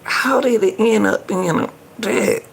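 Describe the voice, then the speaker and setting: A woman giving casual, colloquial reaction commentary as host, sounding incredulous, with drawn-out remarks. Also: mid-range voice, gravelly, slightly rough.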